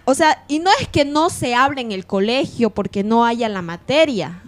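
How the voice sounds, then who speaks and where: A woman's voice: on the high side, expressive, normal speed. A woman with a Bolivian Spanish accent in an informal on-air discussion, feeling out her ideas with repeated words and hesitations. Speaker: radio discussion panelist.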